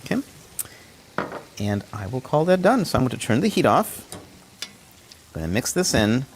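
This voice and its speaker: A man's voice: medium pitch, sing-song, moderate pace. A man showing a dish in his home kitchen over a video call, chatty and encouraging as he cooks and tastes. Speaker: home cook presenter. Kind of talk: casual cooking demonstration. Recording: faint background noise.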